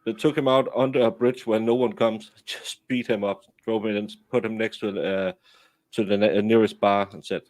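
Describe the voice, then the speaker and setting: A man's voice: fairly low pitch, steady tone, normal speed, darkish tone, no room sound. Man telling rambling stories, dry sound. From Denmark. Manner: rambling storyteller with many restarts. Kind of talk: casual chat on a stream.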